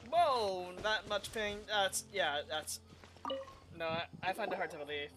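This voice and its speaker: A woman's voice: mid-range voice, animated, measured pace. A woman streaming a game, giving casual live commentary on her playthrough. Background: game music.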